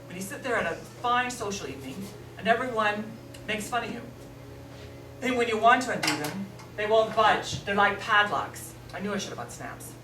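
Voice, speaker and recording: A woman playing a vain, sharp-tongued drag queen, bossy and theatrical, in a stage drama. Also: medium pitch, lively intonation, normal speed, over music, natural voice. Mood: grumbling. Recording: echoey room, distant mic, noisy.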